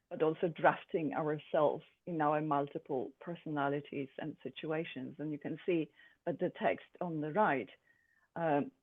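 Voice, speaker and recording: A speaker born in Poland, an artist presenting her own work in a thoughtful, halting way with many pauses and fillers. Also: mid-range voice. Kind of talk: art presentation to a group. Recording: tinny phone-like sound.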